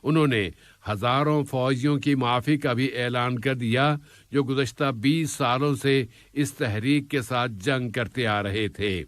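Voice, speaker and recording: Guy reading the news, formal and measured, mid pitch, really dry sound. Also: a bit bright, dry recording. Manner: formal, measured delivery in literary Urdu register. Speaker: news reader. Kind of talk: scripted news bulletin narration.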